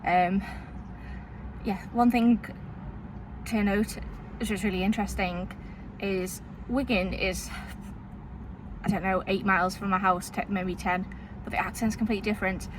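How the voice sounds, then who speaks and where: A woman with a soft Liverpool accent tinged with Lancashire, vlogging in a chatty, rambling way, full of fillers and little laughs. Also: medium pitch, steady tone, unhurried, background noise. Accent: soft Liverpool with Lancashire influence. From Liverpool, England. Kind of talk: casual vlog chat. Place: town street, then in a parked car.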